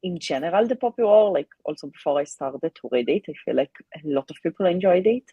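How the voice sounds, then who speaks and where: Woman chatting casually, dark mellow voice. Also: lowish voice, expressive, fast, breathy edge, dry recording, muffled recording. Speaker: live stream co-host. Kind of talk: casual live chat.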